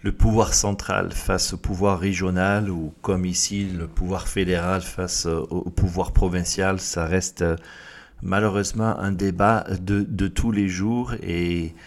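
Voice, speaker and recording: A man's voice: deep voice, flat delivery, measured pace. A man hosting a conversational interview, with hesitant phrasing as he repeats words while forming his questions. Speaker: interviewer, host.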